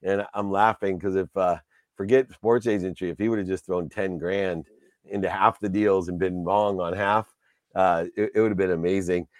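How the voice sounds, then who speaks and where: A man's voice: deep voice, steady tone, moderate pace, no room sound, clean studio sound. A man hosting a casual interview, talking in an enthusiastic, rambling way and heaping praise on his guest. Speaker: podcast host.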